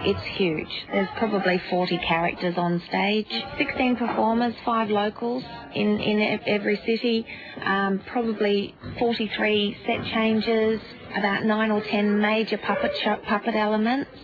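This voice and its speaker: Woman talking shop in interview, pretty even tone, people chattering behind her. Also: mid-range voice, normal speed. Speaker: theatre production interviewee. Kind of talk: conversational interview. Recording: muffled, with busy chatter behind.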